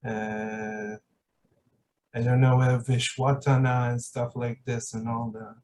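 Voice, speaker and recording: Slow, hesitant man with faint background noise. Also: mid-range voice, little pitch movement, darkish tone, far from the mic. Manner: hesitant, searching for words. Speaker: podcast host. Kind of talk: casual reflective conversation.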